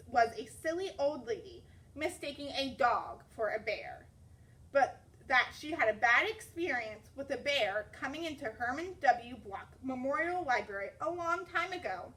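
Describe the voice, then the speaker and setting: A woman reading a children's story aloud as a teacher, expressive and deliberate. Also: sits high, distant mic, rough recording.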